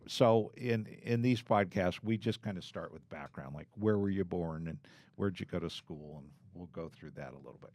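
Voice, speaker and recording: An older man hosting an interview podcast, speaking in a measured, formal way. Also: sits low, darkish tone. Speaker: podcast host, law firm chair.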